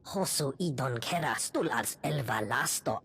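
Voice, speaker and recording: A woman's voice: extremely low pitch, animated, moderate pace, fairly bright tone, a little hiss or hum, full character voice. An actress voicing a female alien who speaks an invented tongue in a video game. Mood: grave.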